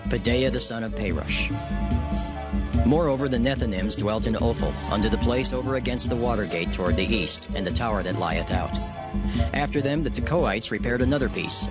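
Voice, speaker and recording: A man narrating a dramatized scripture reading in a measured, solemn cadence, with loud music under his voice. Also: mid-range voice.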